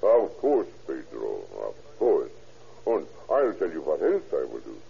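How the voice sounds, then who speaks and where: An actor playing a stammering, sly scientist who turns to murder, in an old radio drama. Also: male voice, extremely low pitch, wildly swooping pitch, a little slow, creaky, mellow, breathy edge, heavily acted. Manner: stammering, sly, with menacing chuckles. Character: sinister German scientist, middle-aged man, murderous. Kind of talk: 1940s radio acting. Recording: dull old radio recording.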